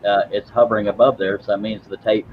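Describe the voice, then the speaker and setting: A man with a lowish voice hosts a casual workshop live stream, rambling along with self-deprecating asides and stumbling over his words. The recording sounds slightly dull, with a faint hum of noise behind him. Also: little pitch movement, quick pace. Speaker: host of a woodworking live stream. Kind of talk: casual live-stream chat while working.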